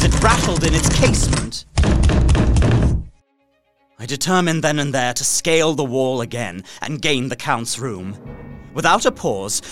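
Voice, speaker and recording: A man playing an earnest, anguished young English gentleman held prisoner, narrating his diary dramatically in an audio drama. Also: medium pitch. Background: music under the voice.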